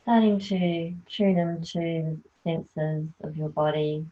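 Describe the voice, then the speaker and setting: Slow, soft-spoken woman guiding relaxation. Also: low voice, steady tone. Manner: slow, soft, soothing instruction. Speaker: yoga nidra teacher. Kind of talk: guided relaxation. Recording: tinny phone-like sound.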